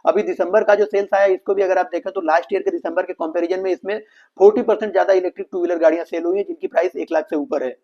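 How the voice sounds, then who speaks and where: A dull, lo-fi recording of an Indian man with a slightly high voice. He talks quickly as he explains financial results, addressing his viewers as friends. English business terms are mixed into his speech. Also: steady tone. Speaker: financial analysis presenter. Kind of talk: explainer commentary on an earnings call.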